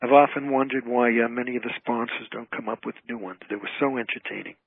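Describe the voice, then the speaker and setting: Older-style phone call audio, husky guy being interviewed on radio, chatting casually, dry sound. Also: medium pitch, steady tone, fast, no echo. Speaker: radio show guest, entertainer and trivia author. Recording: tinny, band-limited phone line.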